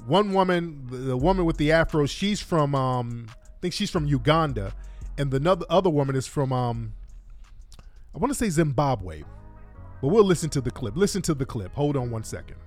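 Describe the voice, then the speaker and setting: A man's voice: fairly high pitch, animated, normal speed, faint music behind. A man hosting a talk show, giving opinionated live commentary in an emphatic, colloquial way and repeating points for stress.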